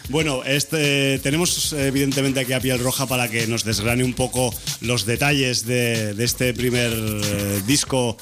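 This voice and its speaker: A man's voice: mid-range voice, steady tone, unhurried, over music. A man hosting a casual radio interview who talks loosely, hedging and restarting his phrases. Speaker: radio host.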